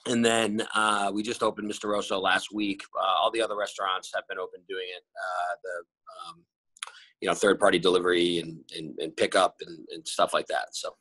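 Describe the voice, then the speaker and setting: A man's voice: deep voice, little pitch movement, normal speed, fairly bright tone, no room sound, low-quality recording. A man in his forties who owns a restaurant group, answering interview questions on a video call in a rambling way with many fillers and restarts. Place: bright room at home. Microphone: laptop camera on a video call.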